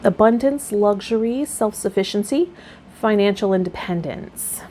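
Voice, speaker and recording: Casting: female tarot channel host, mid-pitched, gentle and encouraging, faint background noise. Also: unhurried. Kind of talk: reading aloud and casual spiritual vlog talk.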